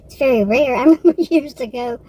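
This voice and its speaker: High-pitched Texan woman vlogging. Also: mellow, slightly rough. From Texas, United States. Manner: rambling, halting, circling back and self-correcting. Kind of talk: casual personal rambling monologue.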